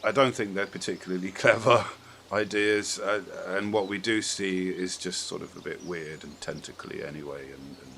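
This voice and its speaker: A man with a notably deep voice hosts a relaxed, casual discussion. He rambles tentatively, working out his thoughts aloud. Background noise is audible in the recording. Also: normal speed, hardly any pauses. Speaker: podcast host. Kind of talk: casual film and game chat.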